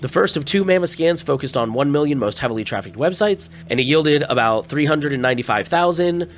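A man's voice: a little high, lively intonation, a little fast, a bit bright. A man hosting a news podcast, a little scratchy and stuffy-nosed from a cold, reading stories with casual asides. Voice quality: slightly scratchy. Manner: plain, conversational, with asides. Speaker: podcast host.

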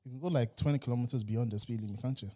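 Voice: medium pitch, very fast, warm tone. A podcast co-host who keeps making teasing, joking remarks. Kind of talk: casual podcast chat.

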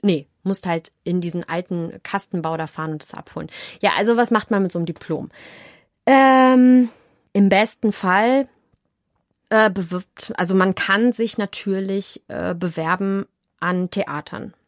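A young woman, an actress, talking as an interview guest in a casual, animated and cheeky storytelling way. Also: mid-range voice, a little slow, dry recording, clean studio sound.

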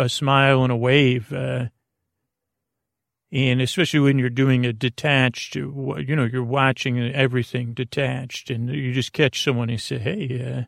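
A man hosting a bedtime podcast, rambling in a halting, meandering way with false starts and tangents, in a gravelly voice. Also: mid-range voice, dry recording, clean studio sound. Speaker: podcast host.

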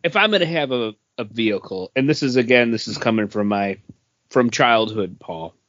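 Dude on a geek podcast, casual and into it, dry sound, bit muffled. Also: male voice, mid-range voice, expressive, normal speed, fairly bright tone, no room sound. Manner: informal, enthusiastic, sweary. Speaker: podcast co-host. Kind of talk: casual pop-culture chat.

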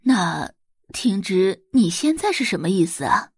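A woman's voice: medium pitch, sing-song, measured pace, a little mellow, breathy edge, no room sound, studio quality, heavily acted. A woman voicing a wealthy, fussy mother of a grown son in an audio drama, coaxing and emphatic.

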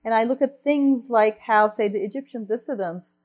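Woman from Turkey, fairly high voice, lecture talk, energetic, thinking aloud. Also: moderate pace. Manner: energetic, thinks aloud with frequent "right?" and restarts. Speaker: researcher and columnist giving a talk.

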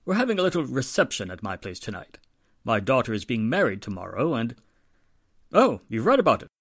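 Man as husky, formal society gentleman. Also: mid-range voice, very animated, dry recording, studio quality. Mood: courteous, formal. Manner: formal, polite, measured phone manner. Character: formal, wealthy society father, courteous and self-important. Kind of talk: 1940s radio drama acting.

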